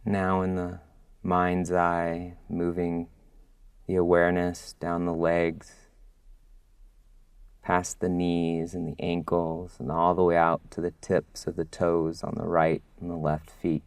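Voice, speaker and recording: A man leads a guided meditation in a low, level voice. He speaks very slowly and gently, leaving long soothing pauses between short phrases. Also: completely flat delivery, no room sound. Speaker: mindfulness instructor. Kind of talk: guided body scan meditation.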